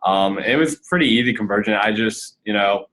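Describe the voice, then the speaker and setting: A relaxed twenty-one-year-old man talks through his answers on a student panel. His voice is fairly deep. He takes his time, with plenty of ums and likes. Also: a little hiss or hum, rough recording. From United States. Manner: relaxed, many fillers, unhurried. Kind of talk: casual Q&A answers.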